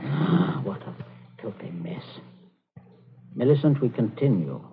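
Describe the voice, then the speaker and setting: A man's voice: medium pitch, big pitch swings, a little slow, creaky, a little hiss or hum. An actor playing a stern, domineering middle-aged father, commanding and impatient, in a 1940s radio drama. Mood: stern, disgusted. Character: stern, domineering father coldly interrogating his daughter. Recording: tinny, band-limited 1940s radio broadcast.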